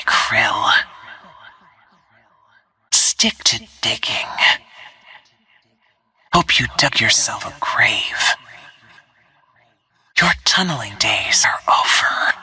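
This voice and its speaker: A whispering, cold-blooded game assassin. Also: female voice, extremely low pitch, dry recording, full character voice. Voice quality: breathy, whispery, husky. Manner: slow, hushed, controlled, threatening. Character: cold, menacing female assassin who stalks her targets. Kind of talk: scripted video game character barks.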